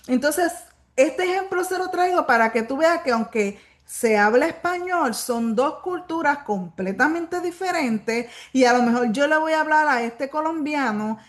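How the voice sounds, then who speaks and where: A woman's voice: high-pitched, lively intonation, unhurried. A woman with a Puerto Rican accent, leading a Bible study, earnestly urging listeners she calls brother and sister, repeating phrases for emphasis. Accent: Puerto Rican Spanish. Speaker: Bible teacher.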